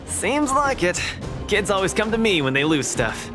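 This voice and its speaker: An upbeat, cocky young male champion for a video game. His fairly high voice bounces around with breezy confidence, over clear game music. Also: big pitch swings, normal speed. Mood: eager, casual.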